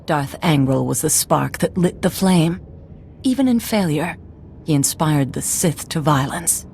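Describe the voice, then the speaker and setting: A solemn woman Jedi councillor in a video game. Her grave, formal speech is low and creaky, over faint ambience. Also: measured pace, darkish tone, a little hiss or hum.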